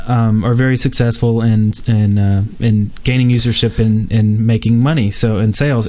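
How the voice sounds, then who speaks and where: A man's voice: mid-range voice, a little mellow. A man hosting a casual gaming podcast, talking loosely with lots of fillers and restarts. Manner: rambling, many fillers and restarts. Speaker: podcast host.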